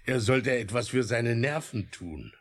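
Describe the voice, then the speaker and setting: A man's voice: medium pitch, expressive, a little slow, background noise. A formal, skeptical male government official. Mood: dismissive. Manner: formal, polite, dismissive. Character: skeptical, courteous male government under-secretary, rational and patronizing. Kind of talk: German radio play acting.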